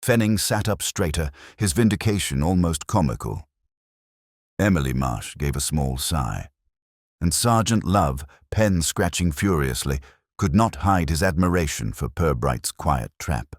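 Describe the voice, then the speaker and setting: A man's voice: rumbling low, animated, a little slow, no echo, clean studio sound. A man reading scripted, dramatic narration of a detective story.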